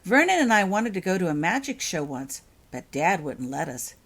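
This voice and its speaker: A woman voicing a curious, excited little girl in a storybook reading, chatty and breathless with wonder. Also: lowish voice, moderate pace. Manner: breathless, exclaiming, eager.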